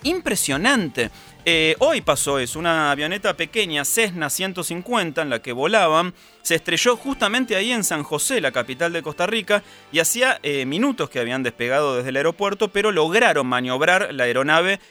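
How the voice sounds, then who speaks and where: Man, Argentine accent, radio host, casual, bright tone, music bed faint. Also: fairly high pitch, sing-song, fairly quick. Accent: Argentine Spanish. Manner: chatty, conversational, many fillers.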